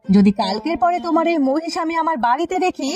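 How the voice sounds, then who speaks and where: A woman's voice: high-pitched, expressive, fast talker, a little mellow, soft background music. A woman voicing a domineering, resentful village wife in a cartoon folk tale, nagging and threatening.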